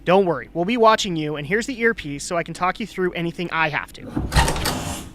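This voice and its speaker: An actress playing a calm, matter-of-fact confidant and minder in an amateur radio play. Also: female voice, lowish voice, moderate pace, close to an everyday voice. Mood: reassuring. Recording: noisy amateur recording.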